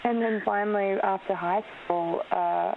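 A woman's voice: medium pitch, fairly even intonation, a little slow, vocal fry. Chatty Australian woman on a phone line. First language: Polish. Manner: chatty, laughing often, many ums. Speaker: actress, interview guest. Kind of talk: casual interview chat. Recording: tinny phone-like radio line with faint hiss.

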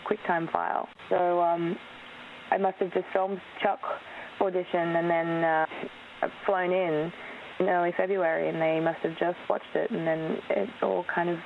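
A woman's voice: lowish voice, level tone, moderate pace, vocal fry. A young Australian actress in her twenties, whose first language is Polish, chatting casually in an interview with lots of ums and laughs. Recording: tinny phone-like radio line with faint hiss.

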